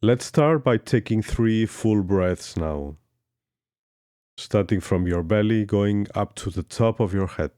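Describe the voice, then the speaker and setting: Very deep, slow male meditation guide. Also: mellow, no echo, studio quality. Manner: slow, soothing instructions with long pauses. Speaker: yoga instructor. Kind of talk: guided yoga nidra meditation.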